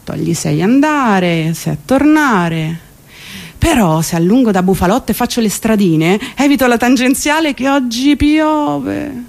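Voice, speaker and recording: An actress playing an exasperated, sardonic commuter worn down by city life, in a theatrical radio monologue with ironic, emphatic delivery. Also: female voice, fairly high pitch, expressive, measured pace, creaky. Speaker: stage actress.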